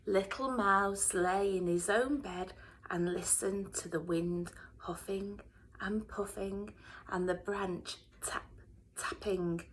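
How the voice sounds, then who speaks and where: A woman reading a children's storybook aloud in a dramatic way, often dropping into hushed whispers. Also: medium pitch, steady tone, takes it slowly, a little hiss or hum. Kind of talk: expressive children's storybook narration.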